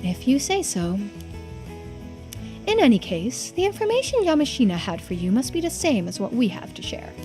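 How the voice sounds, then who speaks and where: A woman voicing a composed, formal investigator in a video game, speaking in a measured, polite, questioning way. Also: high-pitched, sing-song, a little mellow, faint music behind, voice pushed up. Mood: probing.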